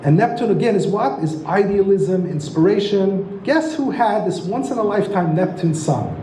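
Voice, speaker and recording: A man's voice: sits high, expressive, moderate pace, warm tone, a little hiss or hum. A man giving an enthusiastic teaching lecture on astrology, often asking his listeners rhetorical questions. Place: lecture room. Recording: roomy echo.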